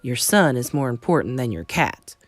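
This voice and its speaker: A woman voicing a calm, wry old Norwegian grandmother in a storybook, matter-of-fact and a little mischievous. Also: extremely low pitch, moderate pace, soft background music, pitched below the performer's own voice, full character voice. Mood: sly.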